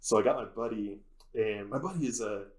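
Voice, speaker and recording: A man's voice: lowish voice, fairly quick, a little mellow. A man, a faculty advisor, casually telling a rambling personal story as an interview guest, acting out bits of conversation.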